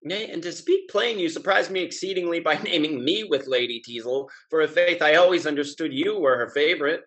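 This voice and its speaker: A man's voice: fairly high pitch, fairly quick, fairly bright tone, close to an everyday voice. A young male actor playing a breezy, irreverent rake of a younger man in a jaunty period stage comedy. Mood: amused, mocking. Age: young adult. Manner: jaunty, mocking period speech. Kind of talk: Restoration-style stage comedy over video call. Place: home room with bookshelves, video call.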